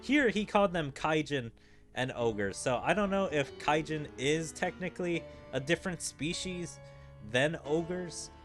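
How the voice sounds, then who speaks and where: A man's voice: fairly high pitch, lively intonation, measured pace, fairly bright tone. A man giving casual video game commentary as a streamer. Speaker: gaming streamer. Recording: game music behind the voice. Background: video game music.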